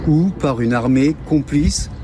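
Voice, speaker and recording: A man's voice: fairly high pitch, measured pace, noisy background. A man from France giving a pre-recorded statement as an activist.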